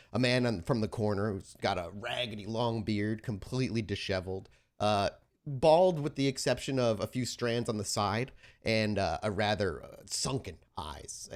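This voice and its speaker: Low-voiced man narrating a tabletop game. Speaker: game master and storyteller.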